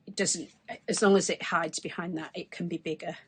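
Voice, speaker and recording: A woman in her forties, hoarse from losing her voice, chatting through a craft demonstration at her desk. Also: lowish voice, fairly even intonation, fairly quick, no echo. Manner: chatty, explains as she works. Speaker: craft tutorial host. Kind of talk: casual step-by-step crafting demonstration. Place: craft desk indoors, overhead camera.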